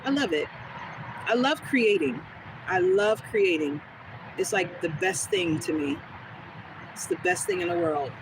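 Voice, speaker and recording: A woman with a smooth, soothing voice, chatting casually with viewers during a live stream and answering their comments as she goes. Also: lowish voice, unhurried, pauses often. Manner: relaxed, rambling, talks back to chat comments. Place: outdoors on a quiet street. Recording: noisy outdoor phone recording.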